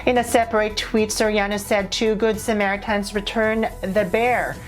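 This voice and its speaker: A woman's voice: medium pitch, fairly even intonation, moderate pace. A woman reading scripted showbiz news as a reporter. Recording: music bed under the voice.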